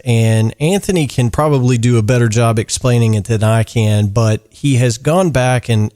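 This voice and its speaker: A man hosting a podcast interview in relaxed, easygoing chat, often stumbling over words and filling pauses. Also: medium pitch. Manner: easygoing, lots of fillers and restarts. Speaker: podcast host.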